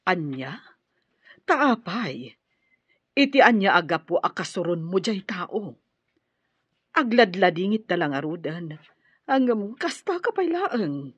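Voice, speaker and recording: Questioning, reproachful woman, husky, animated pitch, Ilocano accent, radio drama. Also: slow, long pauses, no echo, exaggerated voice. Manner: probing, reproachful. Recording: dull, muffled radio drama audio.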